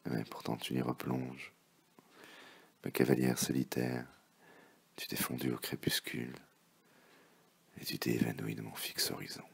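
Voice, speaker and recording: A man with an extremely low, gravelly voice recites love poetry. His delivery is theatrical and impassioned, able to sink into hushed murmurs, and his tone is husky and rough. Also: little pitch movement, unhurried, long pauses. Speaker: poet reading poems.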